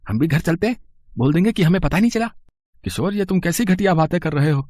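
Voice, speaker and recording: A man acts out an earnest male storybook character in dramatized dialogue. The voice is notably high for a man, recorded dry. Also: darkish tone, dry recording, close to an everyday voice.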